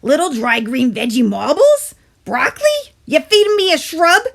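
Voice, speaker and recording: A woman voicing a bossy, wisecracking sweet tooth in a children's storybook, loud and pleading as it craves candy. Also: high voice, wildly swooping pitch, normal speed, creaky, voice pushed up, heavily acted. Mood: wheedling, pleading. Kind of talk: animated picture-book character voice.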